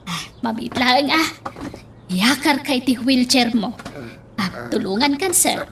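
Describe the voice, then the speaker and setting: A woman's voice: fairly high pitch, animated, slow pace, fairly bright tone, full character voice. A woman playing a helpful, deferential young attendant in an Ilocano radio drama. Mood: obliging. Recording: noisy, distant microphone with clicks.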